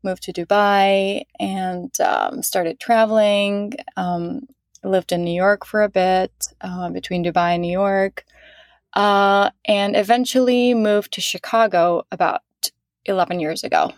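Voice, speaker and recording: Woman from egypt on a podcast, chatting slowly w lots of pauses, dry sound. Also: mid-range voice, fairly even intonation, dry recording. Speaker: podcast guest. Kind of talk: casual interview talk.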